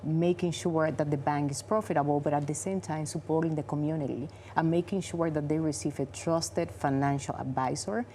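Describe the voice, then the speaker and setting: A woman in her forties with a Dominican Spanish accent and Spanish as her first language, patiently explaining things in English that slips in its grammar now and then. Her voice sits low and sounds mellow and warm. A light background hiss runs under the recording. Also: steady tone, a little fast, hardly any pauses. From Dominican Republic. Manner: earnest and explanatory, non-native grammar. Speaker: bank vice president, guest. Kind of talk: interview answers.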